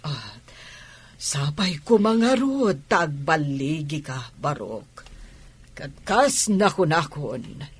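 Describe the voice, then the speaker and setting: Husky, slow-talking older auntie played by an actress. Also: female voice, deep voice, sing-song, no room sound, exaggerated voice. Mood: serious, businesslike. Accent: Ilocano. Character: older well-off matchmaking aunt eager for grandchildren. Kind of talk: radio drama acting.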